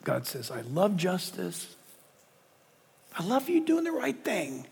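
A middle-aged man preaching a sermon, reading scripture with emphasis and dropping into hushed asides. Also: sits high, wildly swooping pitch, takes it slowly, creaky, breathy edge. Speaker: pastor. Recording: long reverb of a large hall.

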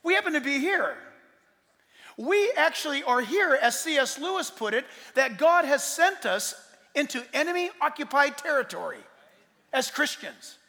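A man preaching a fervent sermon as pastor, swinging between shouted declarations and hushed asides. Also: very high-pitched, very animated, moderate pace, big-room sound.

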